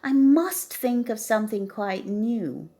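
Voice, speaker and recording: A woman's voice: a little high, slow, mellow, voice pushed up. A woman voicing an earnest, secretive male cat in a children's storybook, speaking expressively. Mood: pondering. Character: earnest, secretive young male cat, thoughtful gift-giver.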